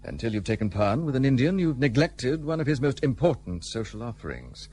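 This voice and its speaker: Man playing a blunt, guarded gentleman, animated delivery. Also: mid-range voice, a little fast, faint music behind, lightly acted. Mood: dry, opinionated. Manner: formal, clipped, brusque. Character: guarded, blunt, bitter British gentleman in colonial India. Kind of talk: period radio play acting.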